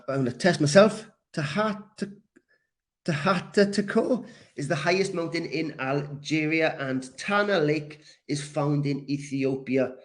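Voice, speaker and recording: A man from Wales teaching a live English class, deliberate and encouraging, repeating key words for learners as he responds to comments. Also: fairly high pitch. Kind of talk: live grammar lesson, reacting to comments.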